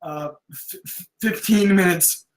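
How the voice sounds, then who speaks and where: A worried subordinate technician, played by a man, speaks in a high male voice. He sounds nervous and cautious, as in a film script read. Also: slow pace, low-quality recording.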